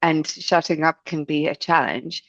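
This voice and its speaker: Middle-aged woman with a blended Irish and South African accent, gentle and expressive, low-pitched, on a dry lo-fi podcast mic. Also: measured pace, no echo. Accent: Irish and South African English mix. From Ireland. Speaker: voice and speech coach. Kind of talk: podcast interview answer.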